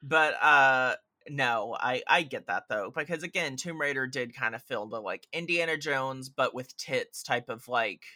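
A man's voice: mid-range voice, unhurried, few pauses, bright, forceful tone, dry recording. A man talking casually and enthusiastically about films and games on a podcast, in a rambling, informal way. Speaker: podcast speaker. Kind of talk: casual film and video game discussion.

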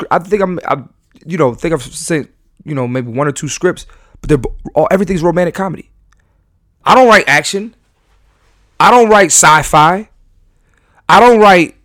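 Passionate rambling podcast host. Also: male voice, a little high, a bit bright, no echo, clean studio sound. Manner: passionate, rambling with many restarts. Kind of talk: live podcast monologue to audience. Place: live venue.

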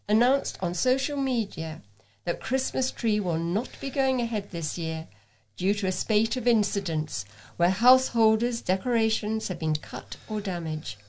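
A woman's voice: medium pitch, expressive, measured pace, darkish tone. Woman reading local news. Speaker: volunteer newsreader.

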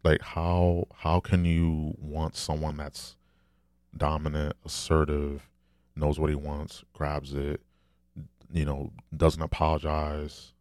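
A man with a deep, low, soft voice, talking candidly and rambling in a casual solo monologue, swearing now and then. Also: completely flat delivery, slow, clean tone, no room sound, studio quality.

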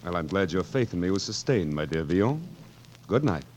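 A man's voice: low-pitched, lively intonation, a little fast, darkish tone. An actor playing a sly, menacing king, drawling with mock forgetfulness and gloating in 1940s radio drama style. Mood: smug menace. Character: sly, sardonic, menacing king toying with his victim. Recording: faintly noisy vintage 1940s radio broadcast.

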